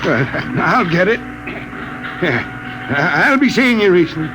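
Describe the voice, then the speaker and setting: Awkward, animated, placating spaceship crewman. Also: high voice, slow, full character voice. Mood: sheepish, placating. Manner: hesitant, placating. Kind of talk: 1950s radio drama acting. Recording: noisy vintage radio recording.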